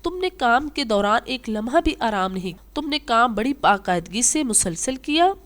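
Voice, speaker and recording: A woman's voice: a little high, lively intonation, moderate pace, a bit bright. A woman voicing a kindly, wise old female ant in a children's storybook, patient and instructive. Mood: patient, encouraging.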